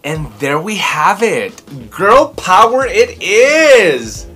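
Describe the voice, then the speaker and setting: Theatrical man with highly varied intonation. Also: extremely slow, slightly rough, faint music behind. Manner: theatrical, expressive. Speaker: children's book reviewer and host. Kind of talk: storybook read-aloud and review to camera. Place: small furnished room at home, indoors.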